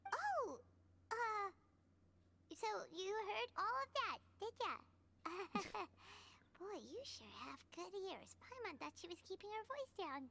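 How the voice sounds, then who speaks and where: A woman voicing a small, childlike, easily flustered fairy companion in a video game, squeaky and chatty, full of exclamations. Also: big pitch swings, slow pace, pushed and bright, full character voice. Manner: refers to herself in third person, exclaims a lot. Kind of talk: animated game character acting.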